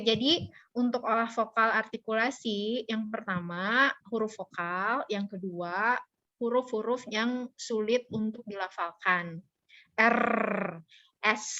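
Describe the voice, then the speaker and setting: A woman's voice: fairly high pitch, bright, forceful tone. A woman giving an informal, playful lesson as a public speaking instructor over a video call. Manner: playful, joking, colloquial. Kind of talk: informal interactive online lesson. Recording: dull, band-limited video call audio.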